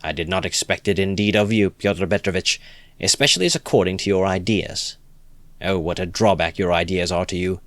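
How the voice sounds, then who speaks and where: An eager young idealist lectures with emphatic zeal, his voice pushed higher and louder than the reader's own deep tone, as a man puts him on. The recording is close and dry. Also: normal speed, no room sound, close to an everyday voice. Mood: earnest. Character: earnest, excitable young male idealist, preachy. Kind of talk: audiobook reading.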